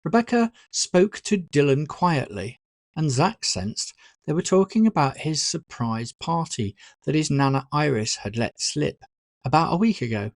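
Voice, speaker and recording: A narrator reads a story in a deliberate audiobook style. The pitch is mid-range and moves in lively swings. The sound is close and dry, with expressive phrasing throughout. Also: no room sound. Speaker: author narrating own audiobook.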